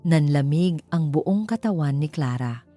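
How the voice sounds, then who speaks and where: A woman's voice: low voice, lively intonation, unhurried, dark tone, faint music behind. A woman with a Filipino accent narrating a scripted dramatic story.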